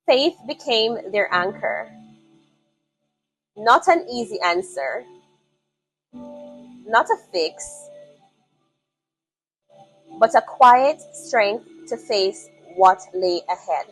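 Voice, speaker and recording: Slow, solemn female story narrator. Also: medium pitch, animated, a bit bright, faint music behind, low-quality recording. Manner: solemn, measured delivery in short phrases. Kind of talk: scripted dramatic story narration.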